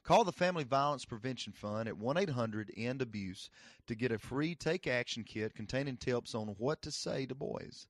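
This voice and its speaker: A man's voice: medium pitch, expressive, moderate pace, no echo, clean studio sound. A man reading a scripted public service announcement as an earnest, direct appeal.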